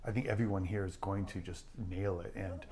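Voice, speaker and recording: A man speaking as a film writer in a reflective interview, halting as he searches for words. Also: deep voice, fairly even intonation, creaky, a little mellow. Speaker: screenwriter.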